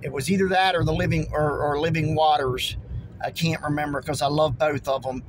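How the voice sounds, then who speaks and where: A man in his forties, hoarse from losing his voice, preaching earnestly and emphatically to a phone camera from inside his car. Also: a little high, steady tone, brisk, slight background noise, poor audio. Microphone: phone held close.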